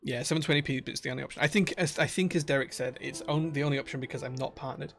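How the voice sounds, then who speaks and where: A man's voice: mid-range voice, steady tone, rapid-fire, gravelly, a bit bright, slight background noise. A man with a broad Yorkshire accent, chatting casually on a live stream from his workshop and reading viewer comments aloud with lots of uhs. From Yorkshire, England. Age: adult, married. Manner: friendly, chatty, many uhs and restarts. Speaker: streamer and keyboard builder.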